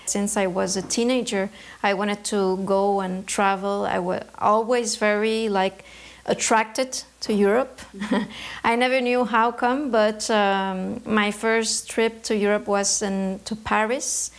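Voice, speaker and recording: A woman from Canada, an embroidery artist, answering interview questions in a thoughtful way, often pausing to search for words. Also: medium pitch, fairly even intonation.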